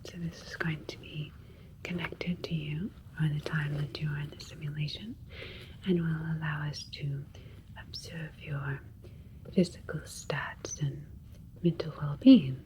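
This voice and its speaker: A woman playing a gentle, soothing alien doctor in an ASMR roleplay, speaking in a breathy whisper with a clinical manner. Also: deep voice, fairly even intonation, measured pace, background noise. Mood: calm, clinical.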